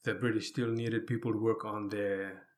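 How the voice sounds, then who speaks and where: Low-voiced man telling his life story. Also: little pitch movement, normal speed. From Fiji. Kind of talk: conversational personal storytelling.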